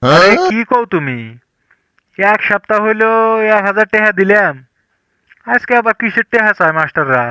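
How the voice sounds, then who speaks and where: A man's voice: high-pitched, animated, normal speed, long pauses, sharp tone, no room sound. A man voicing a trusting, hardworking poor village father in a comedy cartoon, homely and fatherly. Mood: worried, grumbling.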